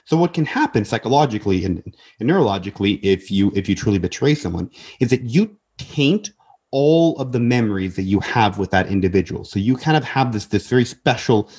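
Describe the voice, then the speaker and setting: Husky-voiced earnest young man. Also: lowish voice, animated, fairly quick, a little mellow. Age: 20s. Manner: earnest, many restarts and repeated words. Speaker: podcast guest. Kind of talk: casual podcast conversation.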